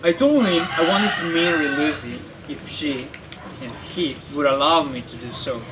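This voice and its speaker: A man playing an earnest exiled French aristocrat, telling his story in a formal, deliberate dramatic monologue. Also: a little high, natural voice. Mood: earnest, hopeful. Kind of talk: scripted dramatic monologue of a classic novel. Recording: faint background noise, amateur recording.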